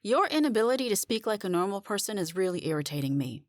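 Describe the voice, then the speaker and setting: A woman's voice: mid-range voice, very animated, fast talker, dry recording, clean studio sound, voice pushed up, close to an everyday voice. A woman playing an exasperated, bewildered woman caught in a supernatural mystery, sarcastic and demanding.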